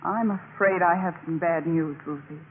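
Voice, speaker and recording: An actress playing a smooth, falsely sweet young sorority president, measured and evasive, in a 1950s radio drama. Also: female voice, on the low side, close to an everyday voice. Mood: falsely sympathetic. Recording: noisy, tinny 1950s radio broadcast recording.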